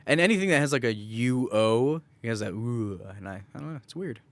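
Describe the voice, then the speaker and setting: A man chats as a podcast co-host in a loose, joking style. His pitch moves around a lot as he banters, and he can be crude. The sound is dry, with very little room around the voice. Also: expressive, brisk, a bit bright, no echo. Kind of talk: casual banter.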